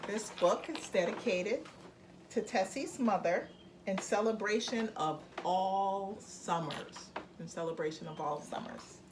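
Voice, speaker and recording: Slow gentle woman reading a bedtime story. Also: medium pitch, noisy background. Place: home room with plants on the table.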